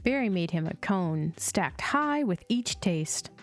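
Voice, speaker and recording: A woman reading children's storybooks aloud as a host, in a measured, sing-song storytelling delivery. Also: on the low side, lively intonation. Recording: music playing behind the voice. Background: chill music.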